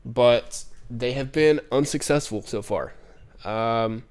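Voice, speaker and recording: A man's voice: medium pitch, slow, a little mellow, clean tone. Congested man rambling through news. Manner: rambling, many ums and restarts. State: congested, nasal from a cold. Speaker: streamer. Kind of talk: casual news commentary stream.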